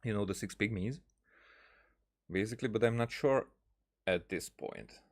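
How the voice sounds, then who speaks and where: A man giving casual game commentary, thinking aloud in a halting, rambling way. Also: lowish voice. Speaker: gaming streamer.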